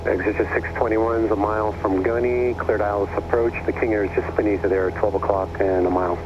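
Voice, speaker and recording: A man's voice: on the low side, fairly even intonation, fast. A man working as an air traffic controller, giving clipped instructions over radio. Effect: aircraft radio. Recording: muffled humming noisy aviation radio.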